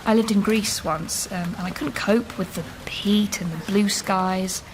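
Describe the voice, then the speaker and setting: A woman playing a talkative woman in her thirties, chatty and quick to laugh, with a voice of middling pitch. She rambles a little as she talks, in a radio drama recording with steady noise behind her. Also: close to an everyday voice. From Leeds and Bristol. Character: chatty woman living in London, warm and talkative.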